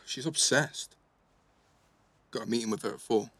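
A man's voice: mid-range voice, moderate pace, fairly bright tone, husky, no echo. A man playing a cheeky, restless teenage schoolboy in care, blunt and slangy, in a naturalistic audio drama. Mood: guarded, wry.

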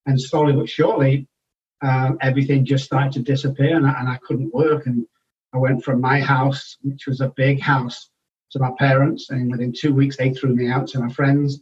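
A man's voice: medium pitch, level tone, normal speed, a little mellow. A middle-aged man from England with a Manchester accent, speaking frankly and matter-of-factly as an interview guest. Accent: Manchester English. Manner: frank, matter-of-fact, with restarts. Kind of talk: candid personal storytelling. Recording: distant, dull-sounding remote recording.

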